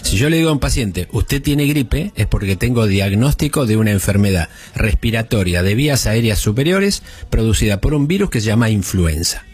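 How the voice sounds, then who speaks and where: Husky Argentine doctor explaining calmly. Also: male voice, fairly low pitch, brisk, hardly any pauses. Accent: Argentine Spanish. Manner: calm, didactic, explains at length. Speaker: doctor guest. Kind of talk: explanatory radio chat.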